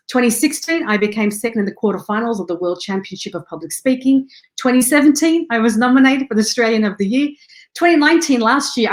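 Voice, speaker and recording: A woman's voice: fairly high pitch, roomy sound. A young adult woman from Afghanistan, now working in Australia, giving an online training on speaking and sales with punchy, motivational energy. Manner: upbeat, punchy motivational delivery with rallying catchphrases.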